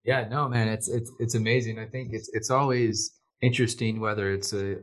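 A man with a lowish voice hosts a podcast, easygoing and chatty. He asks long, loose questions, hedging and restarting as he goes. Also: normal speed. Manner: relaxed, friendly, stumbling and hedging. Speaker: podcast host. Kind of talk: casual interview chat.

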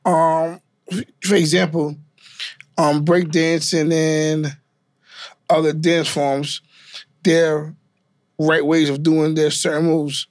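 A man's voice: fairly high pitch, little pitch movement, slow pace, long pauses, dry recording, studio quality. A man from New York with Guyanese family, a dancer telling his story in easygoing, loose casual chat. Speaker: dancer, guest.

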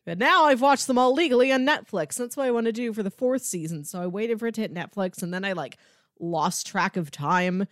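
A young adult woman co-hosting a podcast in relaxed, conversational chat. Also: medium pitch, fairly quick, no room sound, studio quality. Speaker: podcast co-host. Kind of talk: casual chat.

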